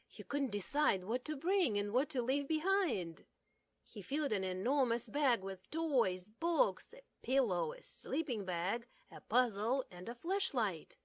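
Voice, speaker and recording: A woman's voice: on the high side, no room sound. A woman narrating a children's story as a read-aloud. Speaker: storybook reader.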